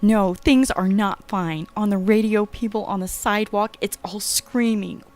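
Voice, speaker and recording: A man's voice: high-pitched, a little slow, pitched above the performer's own voice. A man voicing a frightened young woman who pleads in a frantic, breathless way, with faint music behind. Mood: panicked.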